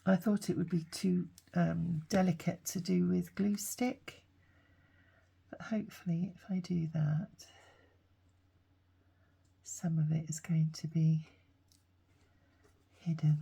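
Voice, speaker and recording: A middle-aged woman with a croaky voice, half-whispering to herself while making a collage. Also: fairly low pitch, fairly even intonation, takes it slowly, long pauses, mellow. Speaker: craft video host. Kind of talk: soft-spoken crafting commentary.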